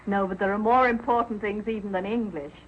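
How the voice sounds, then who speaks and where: An actress playing a warm, proper, understanding wife, well-spoken and affectionate, in an early television sitcom. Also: female voice, medium pitch, steady tone, fairly quick, lightly acted. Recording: muffled, noisy old 1940s broadcast.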